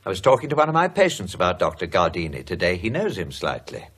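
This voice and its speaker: An actor in a horror radio play, playing a level-headed, sceptical doctor with a reasoning, drily wry manner. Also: male voice, low voice, huge pitch swings, fast talker, fairly bright tone, husky, exaggerated voice. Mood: wry, informative.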